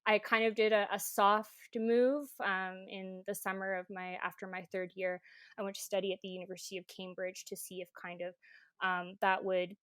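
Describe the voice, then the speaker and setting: Podcast guest: young woman from Croatia raised in Canada, smooth steady tone, earnest and full of ums, dry sound. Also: mid-range voice, moderate pace, no room sound. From born in Croatia, grew up in Canada. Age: 20s. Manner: earnest, with many fillers and restarts. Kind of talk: casual interview conversation.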